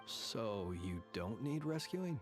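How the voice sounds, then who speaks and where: An actor playing a solemn, courtly lighthouse warden who consoles ghosts in a video game, measured and eloquent. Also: male voice, mid-range voice, sing-song, music in the background. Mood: composed, grave. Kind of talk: video game character acting.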